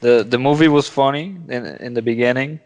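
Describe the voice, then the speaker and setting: A man's voice: medium pitch, a little fast, a little mellow, a little hiss or hum. A man's voice rings with long, hall-like reverb as he chats on a podcast. He gives short, casual, sweary film opinions and often repeats his words. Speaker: podcast co-host. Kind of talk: casual movie review chat.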